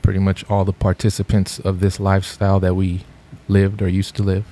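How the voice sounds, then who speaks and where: A middle-aged man from Los Angeles, a podcast guest telling his story in a relaxed, rambling way with lots of fillers. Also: sits low, fairly quick. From Los Angeles, USA. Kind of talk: casual podcast interview.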